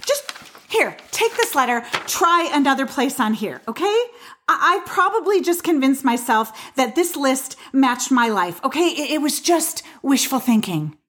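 A woman's voice: high-pitched, sing-song, unhurried, a bit bright, exaggerated voice. An actress playing a weary, guarded woman who has given up on love, speaking hesitantly in a naturalistic audio drama style. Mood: exasperated, insistent. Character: weary, disillusioned woman who stopped believing in soulmates.

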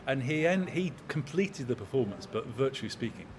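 A man in his sixties, an opera company manager, talking in a measured, anecdotal way in an interview. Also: medium pitch, animated, background noise. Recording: crowd chatter in background.

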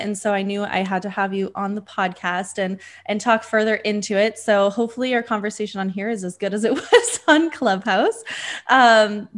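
A woman hosting a podcast, upbeat and casual, leaning on repeated words like "really". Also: mid-range voice. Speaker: podcast host.

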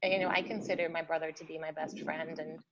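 A woman's voice: low-pitched, flat delivery, a little fast, vocal fry. A young woman from Norway, a pianist, answering interview questions in a chatty way, with many ums. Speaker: concert pianist guest. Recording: muffled remote line with background noise.

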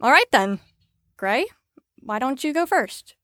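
A woman's voice: sits high, big pitch swings, measured pace, pushed and bright, dry recording, studio quality, exaggerated voice. A woman playing a chipper but firm mental facility staffer in an audio drama, with a perky, authoritative manner. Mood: firm, dismissive.

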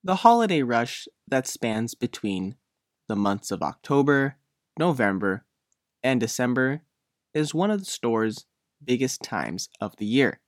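A man's voice: mid-range voice, very animated, unhurried, dry recording. Man reading a news feature, dry sound. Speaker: reporter narrating own written feature. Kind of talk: scripted news feature narration.

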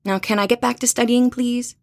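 Skeptical, studious young female roommate played by an actress, slightly high voice, blunt and challenging, horror audio drama. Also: fairly even intonation, fairly quick, slight background noise, natural voice. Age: young adult. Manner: dry, matter-of-fact, challenging.

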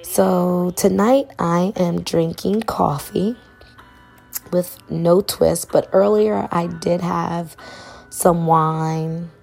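A young woman hosting her own podcast, chatting casually and rambling in a hoarse voice. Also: on the low side. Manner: rambling, relaxed, colloquial. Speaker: podcast host. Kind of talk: casual solo podcast chat.

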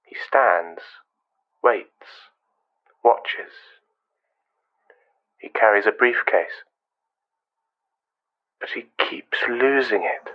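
An actor playing a wistful, controlling, quietly menacing man in a radio play, speaking in measured, fragmented phrases. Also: on the low side, dull audio. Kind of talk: radio play monologue and dialogue.

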